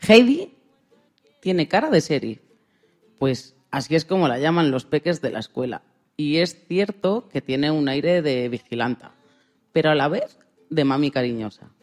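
A woman's voice: low-pitched, sing-song, a little slow. A woman presenting a radio segment in a storytelling style, narrating for listeners of all ages.

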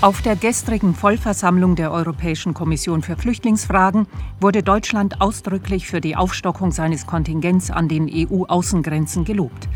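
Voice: medium pitch, steady tone, moderate pace, hardly any pauses, soft background music, natural voice. A performer playing a sober radio news reader, delivering scripted bulletins in a formal, factual manner. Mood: neutral, formal.

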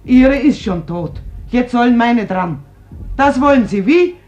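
A woman's voice: on the high side, animated, unhurried, darkish tone, dull audio, soft background music. An actress playing a defiant, embittered middle-aged mother in a radio drama, argumentative and emphatic. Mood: indignant, aggrieved. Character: defiant, embittered mother refusing her sons to war. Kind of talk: German stage-like radio drama acting. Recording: noisy old radio drama recording.

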